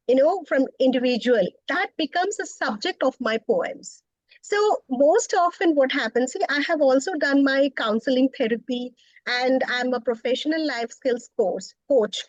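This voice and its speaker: Indian lady w/ a high voice, bengali first language, laughs a lot, dry close sound. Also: no echo, low-quality recording. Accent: Indian English. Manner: warm, laughing, thoughtful with pauses. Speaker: guest, poet and professor. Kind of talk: interview conversation.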